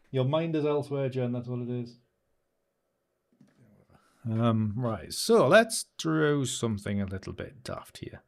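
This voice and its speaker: A man's voice: medium pitch, animated, takes it slowly, creaky, long pauses. Chuckling man streaming live. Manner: rambling, chuckling, answers chat comments. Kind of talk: casual live-stream chat while photo editing. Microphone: new studio microphone.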